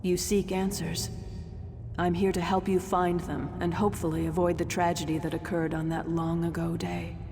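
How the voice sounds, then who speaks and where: A woman playing a solemn, resolute ghost from the ancient past in a video game, grave and deliberate, with a ghostly reverb. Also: lowish voice, little pitch movement, gravelly, a little mellow, a little hiss or hum. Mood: grave, mournful. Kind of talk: video game character acting. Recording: long reverb, music behind.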